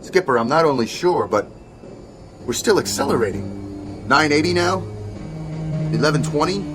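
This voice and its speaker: An actor playing a brisk, diligent male flight navigator in a radio drama, crisply reporting technical readings. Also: a little high, expressive, loud music behind the voice, natural voice. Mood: alarmed, disbelieving.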